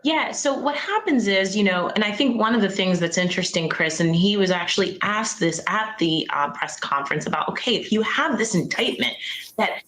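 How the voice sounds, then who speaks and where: A woman's voice: medium pitch, lively intonation, normal speed, fairly bright tone, roomy sound, a little hiss or hum. A woman legal analyst on a TV news panel, explaining a case in long, flowing sentences.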